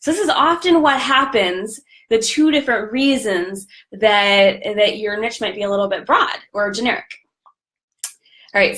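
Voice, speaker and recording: Upbeat woman with a slightly raspy voice. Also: mid-range voice, a bit bright. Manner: upbeat, chatty, greets viewers by name. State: recovering from a cold. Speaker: podcast host and business coach. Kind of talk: live-streamed coaching talk.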